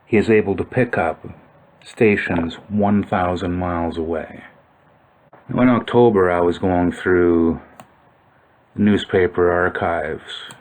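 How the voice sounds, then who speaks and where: A man's voice: sits low, slow, a little mellow. A man giving informal explanatory commentary on old photos, musing and thinking aloud as he goes. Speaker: video narrator.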